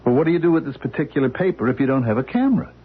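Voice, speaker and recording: An actor playing a skeptical man in a 1970s radio drama who keeps questioning in disbelief, with a husky voice. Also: mid-range voice, animated, racing. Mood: incredulous. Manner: incredulous, jumpy questioning. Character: skeptical man named Paul, incredulous. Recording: lo-fi broadcast sound, phone-like.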